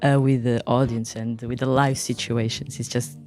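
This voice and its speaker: A woman's voice: a bass voice, little pitch movement, normal speed, dark tone, faint music behind. A woman musician whose first language is Portuguese, answering interview questions in a casual, loose way. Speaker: musician guest.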